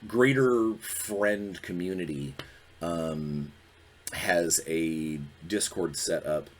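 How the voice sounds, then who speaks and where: A man in his forties with a very low, deep voice, talking on his own as a podcast host. He speaks candidly and reflectively, pausing and restarting as he works through his thoughts, with a faint hum of noise behind him. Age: 40s. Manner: earnest, reflective, halting. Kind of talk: candid solo talk.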